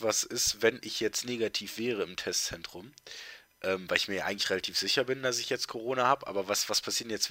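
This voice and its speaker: A man's voice: fairly low pitch, bright, forceful tone, no room sound. A teenage boy co-hosting a casual podcast chat, congested with a heavy cold, rambling with lots of fillers and restarts. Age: teens. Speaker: podcast co-host.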